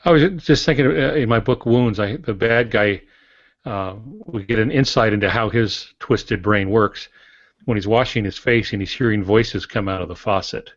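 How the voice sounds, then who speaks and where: A man's voice: lowish voice, moderate pace, no room sound. A man co-hosting a podcast, discussing fiction writing in a casual, reflective, folksy way. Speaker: podcast co-host and novelist. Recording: dull lo-fi podcast audio.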